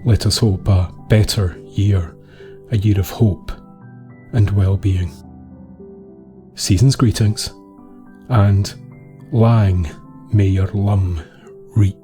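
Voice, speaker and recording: A Scottish man reading a scripted dark tale as a storyteller, with solemn, deliberate phrasing. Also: lowish voice, steady tone, over music.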